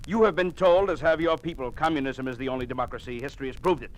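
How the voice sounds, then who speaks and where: A menacing communist commissar , acted by a man speaks in a medium-pitched voice. He is cold, pompous and doctrinaire, handing down warnings in clipped phrases. The style is classic radio drama. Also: steady tone, quick pace, natural voice, rough recording. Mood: quietly menacing. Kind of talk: 1950s American radio drama acting.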